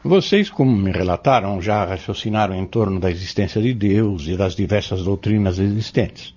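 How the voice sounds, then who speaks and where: An actor playing an earnest, patient spiritual mentor in an audio drama, courteous and explanatory. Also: male voice, lowish voice, moderate pace, darkish tone, highs cut off, lightly acted. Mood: earnest, instructive. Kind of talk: audio drama dialogue, didactic.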